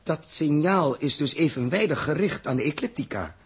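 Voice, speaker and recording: Male space captain, very raspy, higher-pitched, blunt and dramatic, 1960s radio play. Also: animated, moderate pace. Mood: puzzled, agitated.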